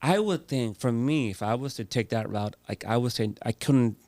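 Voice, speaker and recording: Host: man, lowish gravelly voice, dry close mic, teasing conversational prompts. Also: normal speed, a little mellow, no echo. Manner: playful, leading questions. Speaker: interview host. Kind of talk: casual interview chat.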